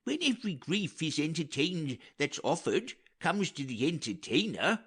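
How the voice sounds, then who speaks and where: A man's voice: on the high side, very animated, moderate pace, fairly bright tone, slightly rough, voice pushed up, heavily acted. A man voicing a talkative, optimistic old royal counsellor in an earnest, wordy Shakespearean audiobook reading. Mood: earnest, consoling.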